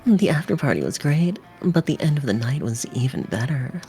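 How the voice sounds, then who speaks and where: Woman streamer, low mellow voice, narrating a game, faint music behind. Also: sing-song, normal speed. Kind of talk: voicing and narrating a game on a livestream.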